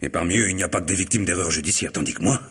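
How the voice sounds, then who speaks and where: A man's voice: low-pitched, very fast, fairly bright tone, slightly rough, exaggerated voice. An actor playing a nervy, self-pitying middle-aged man in a French radio mystery, fretful and exasperated.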